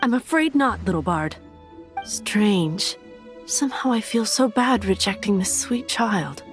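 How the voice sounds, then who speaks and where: A woman's voice: medium pitch, expressive, a little slow, soft background music, natural voice. A woman playing a prim, devout young cathedral nun who is formal, firm and curt, in a video game. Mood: stern. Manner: formal, polite but curt. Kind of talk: video game character acting.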